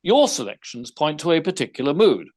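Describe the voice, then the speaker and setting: A man playing a shrewd, unruffled gentleman detective in an audio theater mystery, speaking precisely with formal, wry phrasing. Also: a little high, big pitch swings, fairly quick. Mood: probing, wry.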